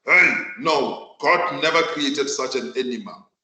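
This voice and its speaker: Slow, bright-voiced South African male teacher. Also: mid-range voice. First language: Northern Sotho. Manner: emphatic, deliberate, repeats phrases for effect. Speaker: accounting teacher. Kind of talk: virtual class lecture. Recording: echoey room.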